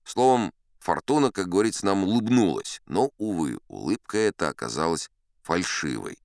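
An actor playing an old sea captain who spins tall tales in a dramatised audiobook, boastful and unflappable, with theatrical asides and dramatic pauses. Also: male voice, low voice, sing-song, creaky, a little mellow, dry recording, clean studio sound, full character voice. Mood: buoyant, boastful.

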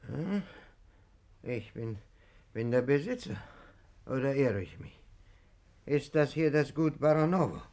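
An actor playing a sick, confused owner of a country estate in a 1950s German radio play, halting and repeating himself in a gravelly voice. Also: male voice, medium pitch, lively intonation, darkish tone. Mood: confused, testy. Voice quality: gravelly, creaky. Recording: 1950s radio drama recording.

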